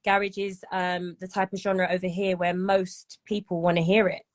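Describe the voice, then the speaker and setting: A woman's voice: fairly low pitch, level tone, normal speed. Singer lady in her 30s, chatty interview talk, keeps asking if you get her. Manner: chatty, often asks "do you know what I mean?". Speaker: singer and guest. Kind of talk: casual interview chat.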